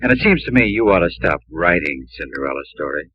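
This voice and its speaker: A man's voice: sits low, sing-song, fast talker, slightly rough, highs cut off, heavily acted. An actor playing a friendly young shoe-store man, playful and confident, in a 1950s radio drama. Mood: playful, wooing. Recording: old 1950s radio broadcast, faint music.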